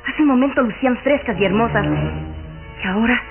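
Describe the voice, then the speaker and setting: A woman's voice: a little high, expressive, moderate pace, vocal fry, faint music behind. An actress playing an uneasy young noblewoman, an earnest daughter in a radio drama. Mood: alarmed. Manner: earnest, apprehensive. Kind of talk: old radio drama acting. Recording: dull lo-fi old radio recording.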